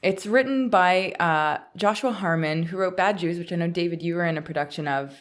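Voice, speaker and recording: A woman with a Canadian accent talks casually as the co-host of a podcast. Her voice sits a little lower than most women's. The delivery is conversational and unscripted. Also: a little fast.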